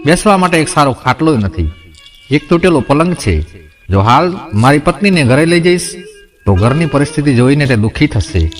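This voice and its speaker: A man narrating a moral family story, speaking straight to his listeners and voicing the quoted characters in his own voice. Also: mid-range voice. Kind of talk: narrating a moral folk tale.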